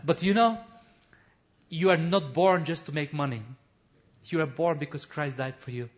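A man who is a missionary pastor, preaching an impassioned testimony sermon in non-native English, building to shouts, with frequent restarts. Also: on the high side, normal speed. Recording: dull lo-fi recording.

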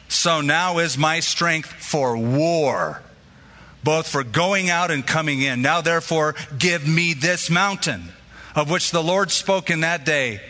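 A man's voice: on the high side, sharp tone. A man preaching a sermon, telling stories and acting out the voices of people he quotes. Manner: storytelling, acts out quoted voices. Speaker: pastor. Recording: long hall reverb.

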